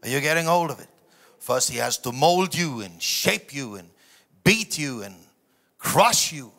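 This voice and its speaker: A man's voice: mid-range voice, expressive, measured pace. A middle-aged man, a native German speaker, preaching an emphatic sermon from a stage into a handheld microphone with dramatic swings in volume. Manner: emphatic delivery with dramatic swings from hushed asides to shouted phrases. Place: large church auditorium stage before a seated audience.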